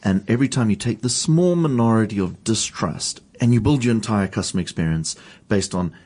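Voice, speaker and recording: Male podcast co-host, low voice with lively swings of pitch, teasing manner. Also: a little fast. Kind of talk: podcast panel chat.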